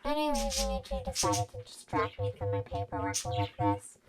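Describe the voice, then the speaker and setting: A woman's voice: extremely low pitch, huge pitch swings, normal speed, voice pushed down, exaggerated voice. A woman voicing a businesslike, mildly exasperated male police detective with a dry, dismissive manner, in an audio story. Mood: dry exasperation. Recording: very noisy amateur recording, birdlike chirps.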